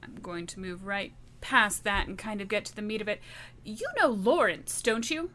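A determined, vengeful woman played by a woman in a tabletop game. Her voice is bright and forceful, mid-pitched, with a cold and deliberate threat. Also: expressive. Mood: cold, insistent.

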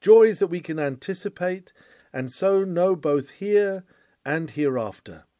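A man reading an old sermon aloud in a formal, declamatory style, with emphatic phrasing and deliberate pauses. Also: high voice, lively intonation, mellow, no room sound, studio quality. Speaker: reader of a historic sermon. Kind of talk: oratorical sermon read aloud.

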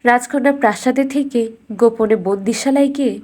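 A woman's voice: on the high side, normal speed, a little mellow. A woman storyteller reading a scripted fairytale narration for a cartoon bedtime story.

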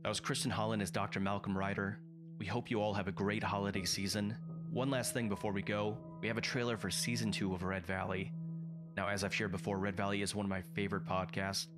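A man, a podcast producer, reading a scripted outro announcement. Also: fairly low pitch.

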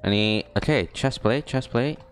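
A young man casually talking to himself while streaming a video game, rambling and joking, with high yelps in fights. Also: normal speed, faint music behind. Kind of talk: casual gaming stream commentary.

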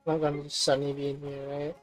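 A woman's voice: low voice, monotone, moderate pace. A woman doing a casual game playthrough, reading on-screen text aloud and commenting. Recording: voice over game music. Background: game music.

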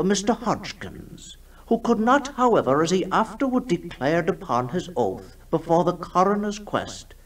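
A man playing a grieving, formal vicar giving a deliberate written account in a radio ghost story. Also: on the high side, distant mic. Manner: solemn, deliberate, old-fashioned formal.

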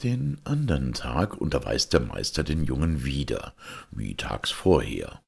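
A man reading a fairy tale aloud as scripted narration, with expressive storytelling delivery. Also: extremely low pitch, a little slow, vocal fry, husky. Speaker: audiobook reader.

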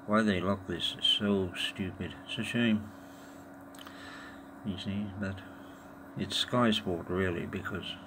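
A man giving a casual, halting hands-on demonstration, muttering to himself in an informal way. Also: low-pitched, steady tone. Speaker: tech video maker. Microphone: handheld phone or camera held over the device. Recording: noisy amateur handheld camera recording.

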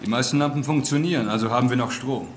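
An actor playing a tough, no-nonsense military captain in an audio drama, curt and commanding. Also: male voice, mid-range voice, quick pace, slight background noise, lightly acted. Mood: brisk, firm. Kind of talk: German audio drama acting.

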